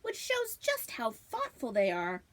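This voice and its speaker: A woman with a slightly high voice reads a storybook aloud. Her pitch moves in lively swings, and she delivers the tale expressively, as a reader for children would. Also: a little slow. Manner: animated, acting out dialogue. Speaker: librarian reading aloud to children.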